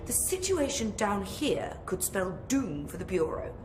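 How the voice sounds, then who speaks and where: An actress playing a brusque, self-important scientist in a video game, haughty, precise and formal. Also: female voice, mid-range voice, big pitch swings, unhurried, music in the background. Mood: haughty, impatient. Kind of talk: video game cutscene acting. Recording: echoey, noisy room.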